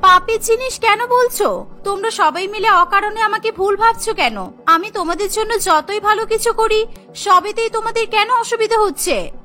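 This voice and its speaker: A woman's voice: extremely high pitch, normal speed, bright, forceful tone, soft background music. A woman voicing an earnest young daughter-in-law who is eager to please and pleads her case in a cartoon. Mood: hurt, protesting. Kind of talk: Bengali cartoon voice acting.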